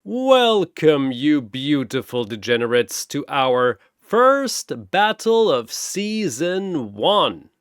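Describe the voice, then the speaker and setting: A man's voice: fairly high pitch, sing-song, slow pace, hardly any pauses, fairly bright tone, clean tone, no room sound, studio quality, exaggerated voice. A man voicing an almighty, gleefully mocking god who announces arena fighters in a grandiose, theatrical showman's style. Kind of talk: bombastic showman ring announcing.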